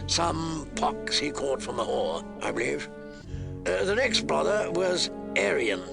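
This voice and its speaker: Man voicing a gravelly, blunt old commander. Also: on the high side, expressive, unhurried, music in the background, exaggerated voice. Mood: blunt, wry. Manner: blunt, storytelling. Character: gruff old male lord commander recounting family history. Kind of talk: audiobook character acting.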